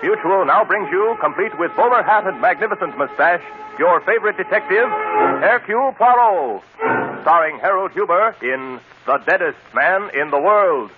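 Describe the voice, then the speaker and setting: A man's voice: sits high, lively intonation, normal speed. A man announcing a program in dramatic old-time radio style. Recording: tinny old radio broadcast. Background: loud music.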